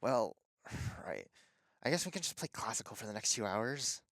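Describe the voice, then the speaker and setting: A harried male radio host, played by an actor, in a middle register with lively swings. He stammers and sounds exasperated, recorded very dry, audio drama style. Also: medium pitch, normal speed, dry recording. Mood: weary, apologetic.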